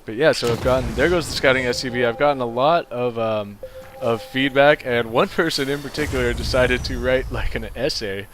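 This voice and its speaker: A man's voice: fairly quick, a little hiss or hum. A young man with a nasal voice giving casual commentary on a strategy video game, rambling loosely and then speeding up and rising as the fights start. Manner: relaxed and rambling, gets louder and higher as the action heats up. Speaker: game caster. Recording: music playing behind the voice.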